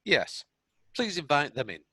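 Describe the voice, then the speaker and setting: A man acts a jokey male magistrate with a bright, forceful voice and a very dry sound. He is wry and a little pompous, in comic stage style. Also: fairly high pitch, very animated, unhurried, husky, no echo, full character voice. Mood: flippant, jovial. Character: flippant, jovial male magistrate. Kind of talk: comic courtroom stage play acting.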